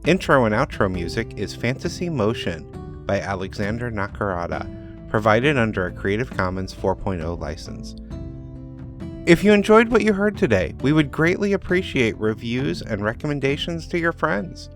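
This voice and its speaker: A man's voice: medium pitch, very animated, normal speed, over music. A man giving scripted narration as author and host of a story podcast.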